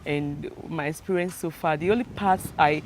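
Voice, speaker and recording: A young Nigerian woman with a Nigerian accent, interviewed at home, speaking in a relaxed, hesitant way with many fillers. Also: sits low, normal speed, background noise. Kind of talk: casual interview chat. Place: home in Ghana.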